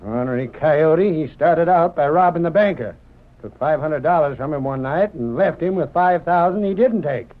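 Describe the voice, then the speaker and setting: An actor playing a suspicious, stubborn deputy with a folksy rural Western American accent in an old-time radio drama. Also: male voice, on the high side, normal speed, lightly acted. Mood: indignant, folksy. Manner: folksy, blustering drawl. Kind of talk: 1930s-40s radio drama acting. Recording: muffled, narrow-band old radio recording.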